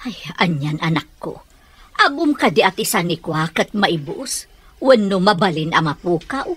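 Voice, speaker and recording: Worried, earnest mother for radio drama; rough-edged husky voice, animated intonation. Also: on the low side, moderate pace, dry recording, exaggerated voice. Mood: concerned, pleading. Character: worried, earnest mother urging her child to study.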